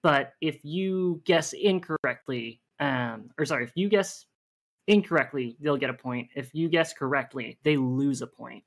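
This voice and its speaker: A woman's voice: rumbling low, a little slow. A woman hosting a casual livestream game show, explaining the rules at length with many fillers.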